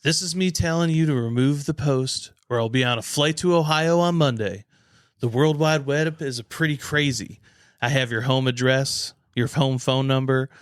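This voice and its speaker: A man's voice: medium pitch, moderate pace, clean tone, no room sound, studio quality, lightly acted. A man voicing an angry, demanding man who makes menacing threats in a dramatic reading of messages. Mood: demanding, threatening.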